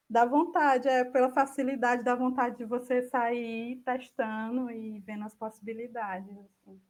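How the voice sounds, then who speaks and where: A Brazilian woman giving an informal online software demo, thinking aloud hesitantly as she presents and answers questions. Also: fairly high pitch, steady tone, slow. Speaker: speaker giving an online talk.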